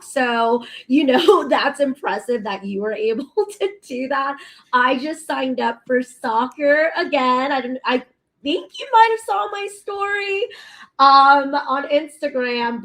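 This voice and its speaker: A woman in her thirties, a talk show guest chatting casually, bubbly and quick to laugh, with many fillers. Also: high voice, a bit bright. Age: 30s. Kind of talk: casual chat.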